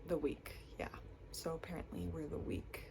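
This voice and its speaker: A woman's voice: low voice, very animated, moderate pace, noisy background. A young woman with a stuffy, congested voice from a cold, chatting casually to her camera with lots of likes and ums. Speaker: vlogger.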